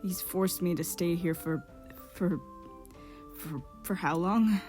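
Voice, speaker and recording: Woman voicing a low, wistful exiled court painter. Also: slow, darkish tone. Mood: sorrowful, shaken. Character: exiled male court painter, centuries old, melancholy and wistful. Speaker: voice actor in a fan dub. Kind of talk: dramatic storytelling monologue. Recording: music behind the voice. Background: instrumental music.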